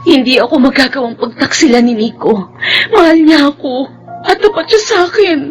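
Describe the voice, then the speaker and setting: Tender, high-voiced young wife. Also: female voice, animated, normal speed, soft background music. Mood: tearful, worried. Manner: tender, pleading. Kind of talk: Filipino radio drama acting.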